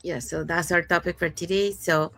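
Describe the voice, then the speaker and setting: A woman's voice: fairly low pitch, steady tone, moderate pace, vocal fry, noisy background. A woman from Panama hosting a live online panel, chatty and upbeat, correcting herself as she goes. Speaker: host.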